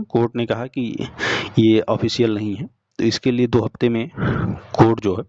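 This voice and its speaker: A young man gives an informal explanatory update, speaking to listeners directly in halting, repetitive phrases. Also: mid-range voice, darkish tone, dry recording. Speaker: exam update presenter. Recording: dull lo-fi sound.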